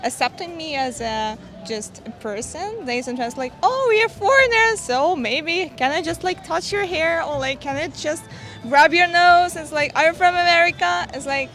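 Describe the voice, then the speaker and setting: A woman's voice: very high voice, animated, moderate pace, a bit bright, soft background music. A young woman from Ukraine answering a street interview in a chatty way, with many "like" fillers.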